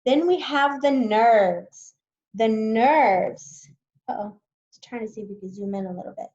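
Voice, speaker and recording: A woman's voice: a little high, measured pace, a little mellow, lo-fi sound. A woman teacher reading a science text aloud to students, patiently explaining new words as she goes.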